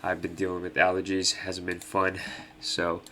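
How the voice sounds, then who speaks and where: A man's voice: deep voice, completely flat delivery, normal speed, creaky, a bit bright, slight background noise. A young man, nasal from allergies, casually hosting a fantasy basketball picks breakdown with lots of ums. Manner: laid-back, many ums and uhs. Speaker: fantasy sports host.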